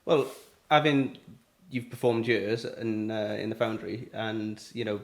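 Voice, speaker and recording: A man's voice: lowish voice, steady tone, a little slow, vocal fry. A man hosting an interview, asking friendly, rambling questions full of ums and restarts. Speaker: podcast host. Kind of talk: casual interview chat.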